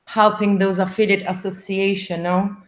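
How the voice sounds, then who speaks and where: A middle-aged woman, an English speaker, explaining things in a measured way as a guest on a video call. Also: mid-range voice, level tone. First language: not English. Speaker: association president, interview guest. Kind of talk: interview talk on a video call. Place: indoor room. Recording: echoey room over video call.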